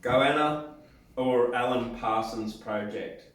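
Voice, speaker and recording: An Australian man with a croaky, dry throat, chatting hesitantly on stage at an open mic between songs, repeating words as he decides what to play. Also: medium pitch, slow. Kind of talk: casual banter between songs. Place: indoor venue hall. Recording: echoey hall from far off.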